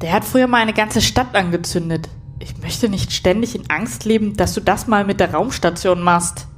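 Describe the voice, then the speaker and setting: A woman's voice: medium pitch, normal speed, slight background noise, natural voice. A woman playing a blunt, sarcastic, easily exasperated space station captain in a sci-fi audio drama. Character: sardonic, irritable female space station captain.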